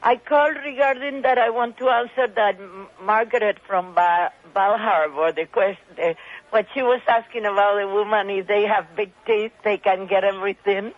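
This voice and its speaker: A woman's voice: medium pitch, normal speed. Effusive Italian woman caller, phone line. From Italy. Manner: effusive, rambling. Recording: phone-like radio call.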